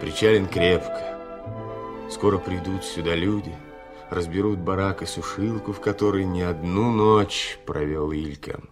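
Low-voiced male radio drama narrator over music. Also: fairly even intonation, a little slow. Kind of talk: dramatic scripted narration for a 1970s radio play.

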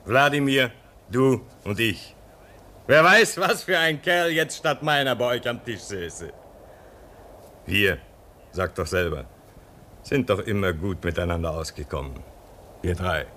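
Earnest comrade; measured, expressive, persuasive; radio play. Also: male voice, lowish voice, a little hiss or hum. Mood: pleading. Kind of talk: radio drama acting.

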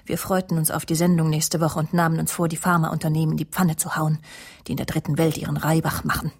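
An actress playing a proud, easily wounded, sharp-tongued career woman who narrates a radio crime drama in a cool, clipped way. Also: sits low, flat delivery, fast, husky. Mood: brooding, self-assured. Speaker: first-person narrator and lead of a radio drama. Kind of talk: radio crime drama acting with inner monologue.

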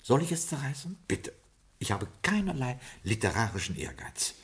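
An actor playing a nervous, formal old butler in an old radio crime play, talking in a deferential, stammering way. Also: male voice, mid-range voice, very animated, moderate pace. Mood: servile, alarmed.

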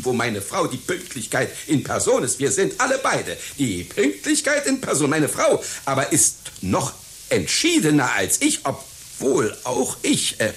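An actor playing a vain, forgetful older circus artiste in a satirical radio play, stammering and querulous. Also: male voice, on the high side, a bit bright, full character voice.

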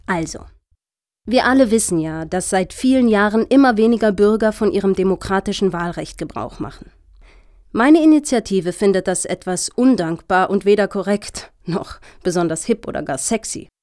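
An actress playing an earnest, officious lobbyist in a satirical radio play, talking in formal, deliberate, speech-like sentences. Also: female voice, medium pitch, lively intonation, a little mellow, close to an everyday voice. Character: earnest, officious female lobbyist pitching for funding.

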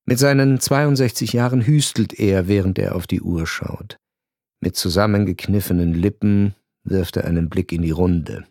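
Male narrator for a literary radio drama, low-set voice, dry studio read, measured and ironic. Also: darkish tone, no room sound. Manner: measured, ironic storytelling with deliberate pauses.

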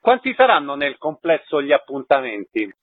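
Lively husky male radio interviewer. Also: a little high, normal speed, phone-quality sound. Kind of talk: radio interview, announcing event details and short questions.

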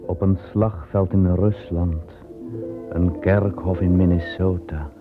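A man narrating an old radio play in a solemn, measured, incantatory way. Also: deep voice, fairly even intonation. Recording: tinny old radio recording with music.

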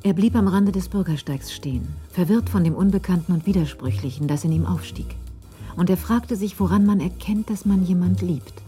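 A woman narrating a crime radio drama in a cool, measured, literary style. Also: on the low side, mellow, soft background music, natural voice.

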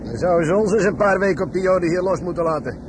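An actor playing a hostile but dutiful soldier on prisoner escort in a radio play. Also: male voice, high voice, animated, quick pace, background noise. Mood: resentful.

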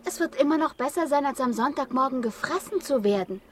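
An actress playing a polite, proud talking antelope-like beast in a children's radio play. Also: female voice, sits high, animated, brisk, slight background noise. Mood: politely proud. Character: rare two-headed antelope-like beast, polite and proud of its ancestry.

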